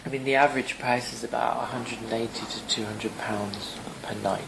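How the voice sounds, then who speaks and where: A man's voice: medium pitch, steady tone, normal speed, creaky. A man working as a real estate agent, answering interview questions in a casual, matter-of-fact way. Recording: field recording with background noise.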